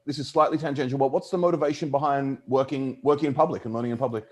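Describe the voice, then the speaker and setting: A man hosting a video-call interview, asking gentle questions. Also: mid-range voice, fairly even intonation, very fast. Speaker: host.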